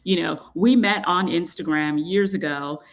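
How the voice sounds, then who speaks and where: Low gravelly woman hosting. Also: a little slow. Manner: enthusiastic and affirming, frequent "you know". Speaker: interview host. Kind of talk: conversational interview.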